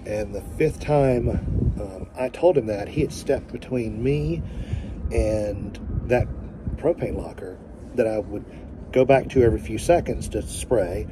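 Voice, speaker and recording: A man in his forties telling a story straight to a phone camera outdoors, speaking earnestly and emphatically, pausing to find his words. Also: lowish voice. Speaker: family vlogger. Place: outdoors by a boat in sunlight. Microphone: phone held at arm's length. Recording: outdoor phone audio with background noise.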